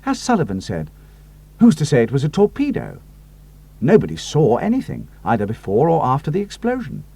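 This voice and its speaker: A man's voice: mid-range voice, wildly swooping pitch, brisk, vocal fry, warm tone, husky, full character voice. A man voicing a loyal, cautious pilot sidekick who talks earnestly. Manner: earnest and a little doubtful.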